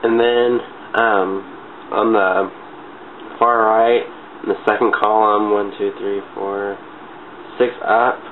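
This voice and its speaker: Stuffy-nosed, low-voiced man on muffled audio. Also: flat delivery, slow. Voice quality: stuffy, congested nose. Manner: informal, giggly, loosely rambling. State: has a cold. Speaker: makeup tutorial vlogger. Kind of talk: casual chatty makeup tutorial. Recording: muffled phone-like webcam-style audio, clear noise.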